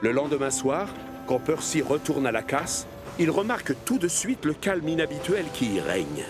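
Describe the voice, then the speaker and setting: A man narrating a children's cartoon in French dub, with an expressive storytelling manner. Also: on the high side, normal speed, over music.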